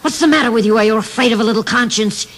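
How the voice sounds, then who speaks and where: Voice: medium pitch, very fast, fairly bright tone, lightly acted. A performer voicing a scheming male devil tyrant, oily and wheedling, with theatrical asides in a radio drama.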